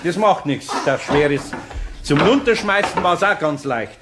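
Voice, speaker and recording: An actor playing a good-natured, gruffly firm older craftsman with a Bavarian accent in a children's audio play, folksy, patient and dryly amused. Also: a little high, expressive, unhurried, heavy background noise. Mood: patient, insistent.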